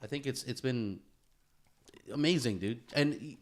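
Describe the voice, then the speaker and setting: An American man co-hosting a podcast, chatting casually and peppering his animated banter with slang. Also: medium pitch, measured pace. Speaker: podcast co-host. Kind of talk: casual chat.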